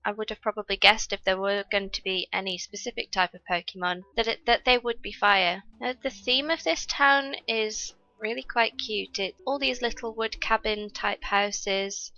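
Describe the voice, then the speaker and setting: A woman's voice: medium pitch, normal speed, pushed and bright, no echo, muffled recording. A young woman recovering from a cold, chatting through her gameplay and explaining each step to viewers. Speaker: gaming YouTuber. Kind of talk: casual gameplay commentary.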